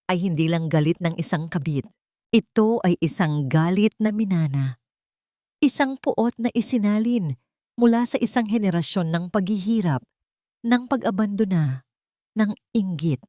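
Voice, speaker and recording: A woman's voice: on the low side, animated, unhurried, long pauses, warm tone, no room sound, clean studio sound. A woman with a Filipino accent narrating a scripted dramatic story as an audiobook narrator.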